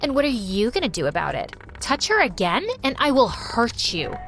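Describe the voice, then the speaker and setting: A woman's voice: on the high side, sing-song, normal speed, fairly bright tone, soft background music. A woman voicing a cruel, condescending teenage girl who rules the school. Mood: mocking. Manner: mocking, condescending.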